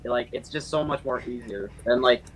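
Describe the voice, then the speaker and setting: A man's voice: medium pitch, normal speed. A young man chatting casually over a call, rambling with lots of fillers and restarts. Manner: rambling, full of fillers and restarts, says his natural voice is quiet. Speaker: channel owner and voice actor.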